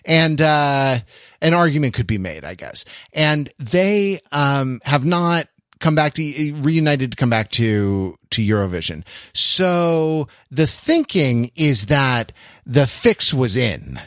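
A man co-hosting a podcast, chatting casually in animated, rambling explanations. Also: medium pitch, takes it slowly, dry recording, studio quality. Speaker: podcast co-host. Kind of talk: casual panel discussion.

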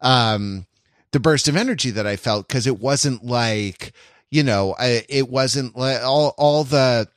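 A man hosting a podcast in casual, reflective chat, rambling and halting as he circles back over his phrases. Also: medium pitch, no echo, clean studio sound. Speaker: podcast host.

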